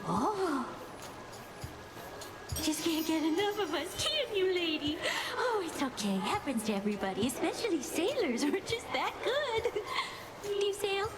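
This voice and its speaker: A woman's voice: very high voice, sing-song, unhurried, exaggerated voice. An actress playing a brash, cocky siren singer in a video game, teasing and swaggering as she shows off. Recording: loud music behind voice.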